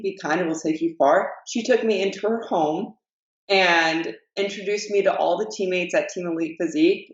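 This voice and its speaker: Upbeat woman in her 20s from Iowa, roomy and slightly dull recording. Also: on the low side. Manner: upbeat, storytelling. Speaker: guest, fitness coach. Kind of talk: casual chat.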